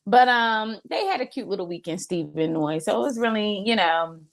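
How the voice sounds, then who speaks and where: A woman's voice: medium pitch, expressive, normal speed. A woman co-hosting a casual review show, talking bluntly and profanely with mock-outraged asides. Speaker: podcast co-host. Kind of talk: casual reality TV review chat.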